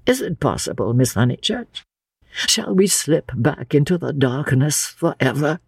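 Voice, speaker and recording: A performer voicing a frail, gentle old man who speaks haltingly and in anguish, as in a dramatic audiobook. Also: mid-range voice, big pitch swings, gravelly, breathy edge, dry recording, clean studio sound, voice pushed up, full character voice. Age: older. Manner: halting, drawn-out, anguished delivery. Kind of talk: dramatic audiobook character reading.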